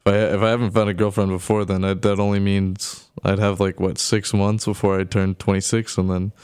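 A man's voice: low-pitched, little pitch movement, fairly quick, a little mellow, clear, resonant tone, no echo, studio quality. A man in his early twenties chatting casually with a friend, talking hesitantly with many restarts. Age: 20s. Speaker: friend in conversation. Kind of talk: casual chat.

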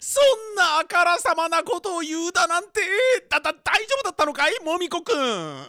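A flustered middle manager in a comic radio drama, played by a man, telling his hard-luck story theatrically. His voice sits high and swings widely, always ready to be aghast. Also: big pitch swings, fairly quick, pushed and bright, no echo, studio quality, heavily acted. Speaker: voice actor.

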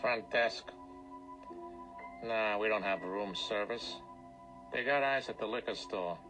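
An actor in a radio drama playing a brusque, money-minded hotel desk clerk with a curt, slangy way of talking. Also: male voice, on the low side, slow, low-quality recording. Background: music.

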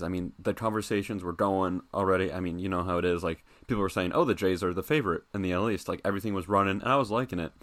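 A man's voice: sits low, fairly bright tone. A young man co-hosting a casual sports podcast, easygoing and self-deprecating. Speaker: podcast co-host.

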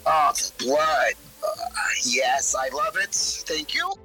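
An actor playing a zealous, bloodthirsty vampire cult high priest in an improvised audio drama, with halting, relishing speech. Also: wildly swooping pitch, bright, forceful tone, soft background music, heavily acted, low-quality recording. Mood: ecstatic. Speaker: roleplayer in an interview audiodrama.

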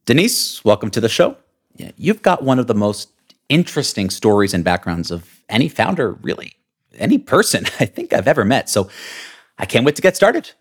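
A man's voice: medium pitch, big pitch swings, normal speed. A man hosting an interview podcast, enthusiastic and building drama as he introduces his guest. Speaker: podcast host.

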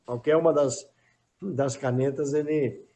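A man's voice: medium pitch, steady tone, a little slow, a crowd in the background. A middle-aged former footballer as a podcast guest, talking in a casual, hesitant way and often repeating words while he thinks. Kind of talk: casual interview chat.

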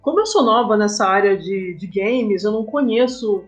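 A woman's voice: medium pitch, expressive, moderate pace. A woman with a Brazilian accent, chatting casually as a podcast guest. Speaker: comic artist, podcast guest. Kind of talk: casual interview chat. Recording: dull lo-fi sound, faint music.

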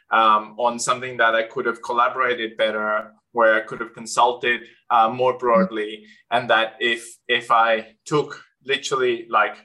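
A man's voice: medium pitch, pushed and bright, rough recording. A man interviewing a guest, asking questions in a hesitant way with many restarts, "um"s and "like"s. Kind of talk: conversational interview.

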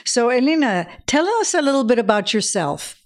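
A woman's voice: on the high side, big pitch swings, normal speed. A woman hosting a coaching podcast, friendly and enthusiastic as she interviews a guest. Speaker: podcast host.

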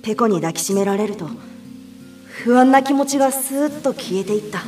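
A woman's voice: fairly high pitch, a little fast, a little mellow, soft background music, natural voice. A woman playing an earnest, dutiful young girl who blames herself, in an audio drama. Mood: tender relief. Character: young girl, dutiful, anxious, self-blaming lantern bearer. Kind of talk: Japanese audio drama acting.

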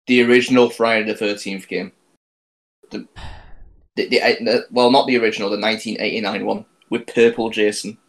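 A man's voice: lowish voice, little pitch movement, a little fast. A man co-hosting a podcast, chatting casually and teasing his co-hosts. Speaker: podcast co-host. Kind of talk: casual chat.

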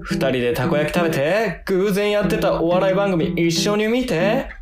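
A woman voicing a chummy, excitable boy eggplant with a gossipy, casual manner in comic radio drama. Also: mid-range voice, moderate pace, lightly acted. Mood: playful, gossipy. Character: chummy, excitable boyish talking eggplant, male. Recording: roomy sound under loud guitar music.

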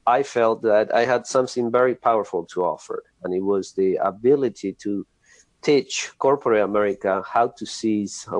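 A middle-aged man from Argentina with an Argentine Spanish accent, who speaks English as a second language and gives steady, earnest interview answers with frequent pauses. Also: on the low side, no room sound. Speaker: guest, ad agency president.

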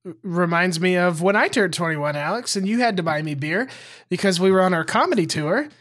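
A man's voice: high-pitched, lively intonation, brisk, a bit bright. A young man co-hosting a podcast, joking dryly and reading short police reports aloud. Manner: dry, teasing humor, easy laughter. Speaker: podcast co-host. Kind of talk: casual chat and reading news items.

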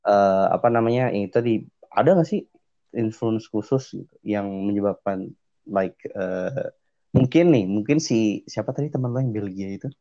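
Low mellow man, muffled dry sound. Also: normal speed, no echo. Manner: slangy, mixes Indonesian and English, searching for words. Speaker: podcast co-host. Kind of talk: casual bilingual podcast chat.

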